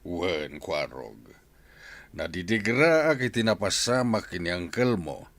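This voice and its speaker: A village neighbour with an Ilocano accent in a radio drama. His voice sits fairly low and moves with big melodic swings. He is deliberate and slow, conciliatory in temper, pausing over his words. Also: very animated, fairly bright tone, no room sound, exaggerated voice. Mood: regretful. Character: slow-spoken male village neighbour who kept a lion, conciliatory. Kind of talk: Filipino radio drama acting.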